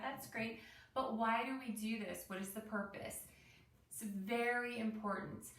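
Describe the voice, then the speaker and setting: A woman's voice: medium pitch, a bit bright. A woman teaching young children in a read-aloud lesson, explaining things simply and encouragingly with frequent check-in questions. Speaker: elementary teacher. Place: indoor room with pet dogs nearby. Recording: roomy echo, distant mic, background noise.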